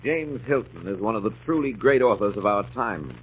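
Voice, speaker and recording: A man's voice: fairly low pitch, lively intonation, normal speed. A man reading a scripted introduction as a 1940s radio drama presenter. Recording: muffled, noisy 1940s radio recording.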